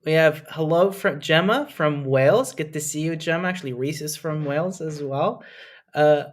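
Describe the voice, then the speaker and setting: A man's voice: fairly high pitch, normal speed. Upbeat man hosting a live webinar. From Lebanon. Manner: enthusiastic, conversational, with frequent "uh" and "right?". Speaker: webinar host and presenter.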